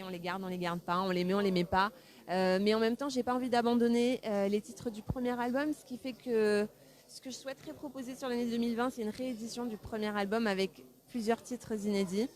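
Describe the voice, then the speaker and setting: A woman's voice: medium pitch, steady tone, brisk. A woman who grew up in France, a singer and songwriter, answering interview questions in a thoughtful, easygoing way with easy laughs. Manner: thoughtful, warm, laughs easily.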